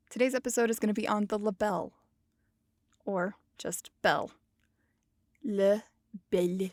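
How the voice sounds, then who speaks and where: A woman's voice: mid-range voice, dry recording. A woman hosts a podcast in a loose, joking teaching manner, poking fun at herself as she goes. Her voice sits mid-range and is recorded dry and close with no room sound. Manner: playful, jokey, self-mocking. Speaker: podcast host. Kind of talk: casual educational podcast talk.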